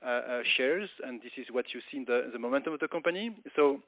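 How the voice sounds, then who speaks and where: A male company executive speaks off the cuff on a business call. His delivery is halting, with repeated words as he works toward each point. The sound is narrow and muffled, like a conference phone line. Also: mid-range voice. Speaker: company executive answering analysts on an earnings call. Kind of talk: spontaneous business presentation and Q&A.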